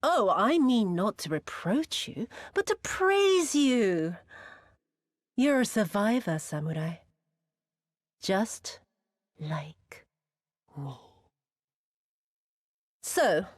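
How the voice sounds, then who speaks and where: An actress playing a cruel, vengeful woman in a video game, mocking and imperious, with a theatrical, drawn-out delivery that sinks into breathy whispers. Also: medium pitch, no room sound, studio quality. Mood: silky, threatening. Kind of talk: dramatic video game cutscene acting.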